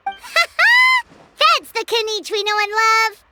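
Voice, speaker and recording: A woman's voice: sing-song, takes it slowly, full character voice. A woman voicing a tiny, eager, always hungry fairy companion in a video game, with a bubbly childlike delivery. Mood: cheerful. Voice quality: squeaky, childlike. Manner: bubbly, refers to herself in third person. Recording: music playing behind the voice.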